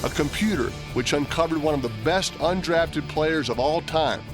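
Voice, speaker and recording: A man's voice: fairly high pitch, moderate pace. A man reading scripted documentary narration in a polished, tongue-in-cheek way. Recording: music bed under narration.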